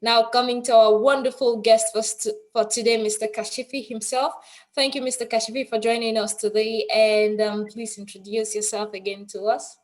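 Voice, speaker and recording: A woman's voice: on the high side, level tone, normal speed. A woman hosting an online interview show in an effusive, announcer-like manner. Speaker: host, anchor of an online TV show. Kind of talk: presenting and interviewing.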